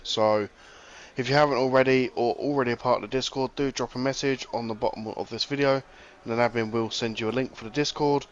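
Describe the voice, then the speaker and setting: A man's voice: mid-range voice, fairly even intonation, a little fast, lo-fi sound, slight background noise. A man co-commentating a sim race in an informal, chummy and colloquial way.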